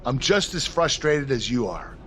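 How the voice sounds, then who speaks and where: A man's voice: mid-range voice, very animated, moderate pace, fairly bright tone. An actor playing a sympathetic but resigned male boss of a wrestling show in a game cutscene. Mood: resigned, frustrated. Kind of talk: video game cutscene acting.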